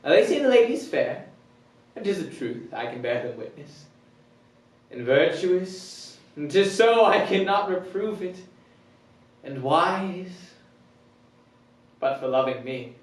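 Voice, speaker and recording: A man's voice: high-pitched, big pitch swings, a little slow, pauses often. A man performing a proud bachelor giddy at being loved, in a theatrical Shakespearean comic monologue, declamatory with abrupt swings between musing and exclaiming. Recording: roomy echo, distant microphone.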